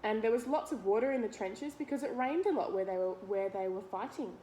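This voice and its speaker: A woman's voice: a little high, expressive, brisk, mellow, a little hiss or hum, rough recording. An Australian woman reading a picture book aloud to young children, expressively, talking to them directly with simple explanations and questions.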